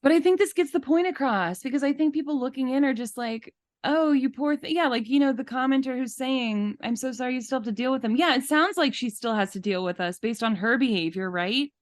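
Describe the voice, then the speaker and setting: A woman's voice: sits high, fairly quick, few pauses, no room sound. A woman co-hosting a podcast, talking casually in an indignant, emphatic and opinionated way. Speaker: podcast host.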